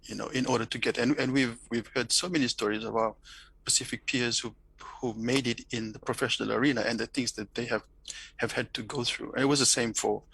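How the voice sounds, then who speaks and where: Fijian guy, bright gravelly voice, dry close mic, talks slow and thoughtful. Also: medium pitch, steady tone, no room sound, rough recording. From Fiji. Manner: thoughtful, many restarts and repeated words. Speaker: interview guest, academic. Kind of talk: reflective interview talk.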